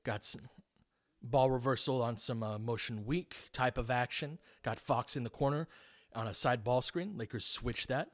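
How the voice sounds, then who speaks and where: A man's voice: medium pitch, normal speed. A man doing live basketball play-by-play, speaking in clipped, informal phrases and adding tactical analysis as he goes.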